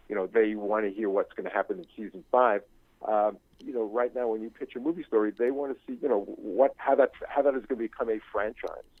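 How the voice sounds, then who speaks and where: An older man speaking as an interview guest in a reflective, conversational way, haltingly searching for his words. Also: lowish voice, breathy edge, no echo. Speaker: interview guest, novelist and screenwriting teacher. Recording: thin phone-like line.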